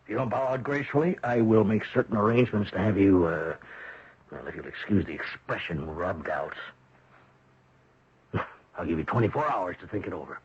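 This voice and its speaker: Very husky, menacing rich patriarch. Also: male voice, fairly low pitch, expressive, brisk, pauses often. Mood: coldly menacing. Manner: condescending, coolly threatening. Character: ruthless rich patriarch, menacing and domineering father. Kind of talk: 1970s radio drama acting. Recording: dull old radio broadcast.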